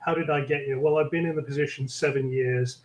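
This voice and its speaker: A middle-aged man with a London English accent, talking through his career in an interview, measured and full of ums. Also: mid-range voice, steady tone, a little mellow, reverberant, poor audio. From England. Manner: measured, many ums and uhs. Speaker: guest, tech executive. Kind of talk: interview conversation.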